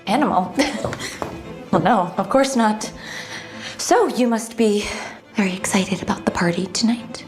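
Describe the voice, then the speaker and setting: A woman's voice: mid-range voice, a little slow, pauses often, lightly acted. An actress playing a lonely but defiant young noblewoman in an audio drama, earnest and emotional. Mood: guarded. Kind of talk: dramatised audio series acting. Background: music.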